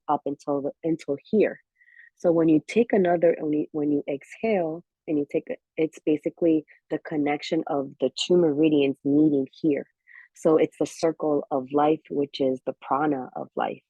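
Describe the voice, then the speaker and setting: A woman guest on a podcast explains health practices in an earnest, conversational way, restarting phrases as she goes. Her voice is gravelly and husky with a dark, mellow tone. The recording is dull and lo-fi, and very dry. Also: low voice, no room sound. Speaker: acupuncturist guest.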